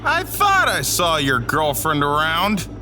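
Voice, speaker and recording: A man plays a flippant young fraternity clown in an audio drama. His high voice swings wildly in pitch at an unhurried pace, always angling for the joke. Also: wildly swooping pitch, over music, exaggerated voice. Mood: teasing. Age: young adult. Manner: flippant, joking. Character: wisecracking young fraternity jokester, an aspiring bad comedian.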